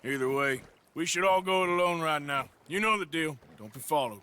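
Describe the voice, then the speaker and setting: Weary gruff outlaw, American drawl, sardonic, forceful, lively intonation, video game cutscene. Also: male voice, fairly high pitch, brisk, a little hiss or hum. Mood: tense, irritated. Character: gruff, sardonic male outlaw gunman, weary but protective.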